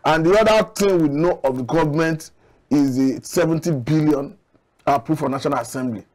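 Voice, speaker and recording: Middle-aged man, studio guest, lapel mic, fairly high voice, measured and argumentative. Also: a little mellow, rough recording. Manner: measured, argumentative, occasional restarts. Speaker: public affairs analyst guest. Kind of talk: studio TV discussion. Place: TV studio set.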